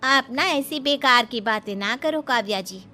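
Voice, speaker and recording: Very high, bright young village wife played by a woman. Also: moderate pace. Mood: determined. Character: plucky, practical young village daughter-in-law.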